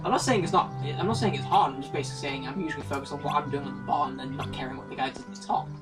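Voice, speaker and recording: A man with a slightly high voice chats casually as he co-hosts a let's play. He talks extremely fast and sits away from the microphone, with clear game music behind him. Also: recorded from a distance. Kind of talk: casual gaming chat. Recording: music behind, off-mic group commentary. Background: video game music.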